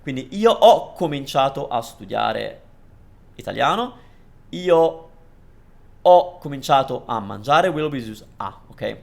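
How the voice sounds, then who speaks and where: A man's voice: mid-range voice, sing-song, a little slow, creaky. A man from Italy teaching Italian, explaining phrases with restarts and fillers, switching between English and Italian. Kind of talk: language lesson commentary.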